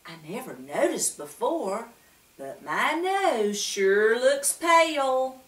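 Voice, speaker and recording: A woman's voice: fairly high pitch, big pitch swings, slow pace, fairly bright tone, voice pushed up, heavily acted. A woman voicing a young male wooden wemmick, speaking in a matter-of-fact way. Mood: plain. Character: plain-spoken young male wooden boy, Punchinello. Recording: roomy, distant mic.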